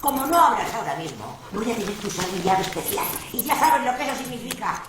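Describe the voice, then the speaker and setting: A woman's voice: a little high, big pitch swings, fast, noisy background. An actress with a Castilian Spanish accent playing a domineering, fanatically religious farm mother in an audio drama, stern and accusing. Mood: furious, accusing.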